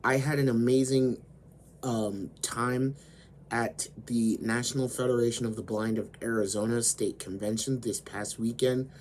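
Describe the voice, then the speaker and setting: A man with a hoarse voice, slowly retelling an anime story as host of his own channel. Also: medium pitch, fairly even intonation, darkish tone, slight background noise. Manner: slow, deliberate storytelling with frequent fillers.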